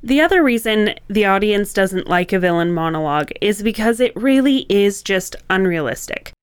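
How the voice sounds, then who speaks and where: A woman's voice: mid-range voice, expressive, moderate pace, clean tone, no room sound. A woman co-hosting a writing podcast, explaining points in a conversational way.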